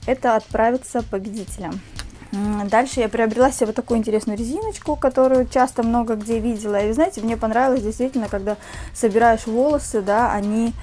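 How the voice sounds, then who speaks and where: A young woman with a slight cold, chatting casually to camera as a beauty vlogger in a small room on a lapel mic, with lots of fillers and soft diminutives. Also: fairly high pitch, quick pace, soft background music.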